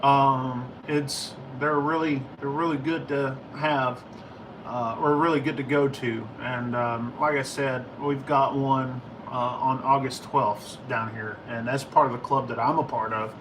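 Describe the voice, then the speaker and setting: An American man chatting casually to livestream viewers in a rambling, halting, informal way. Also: medium pitch, fairly even intonation, recorded from a distance, background noise. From United States. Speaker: livestream host, ham and CB radio hobbyist. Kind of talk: casual livestream chat answering viewer questions.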